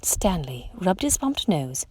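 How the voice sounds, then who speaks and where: Animated woman reading a children's story. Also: on the low side, slow pace, husky. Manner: expressive, dramatic storytelling. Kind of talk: animated picture-book read-aloud.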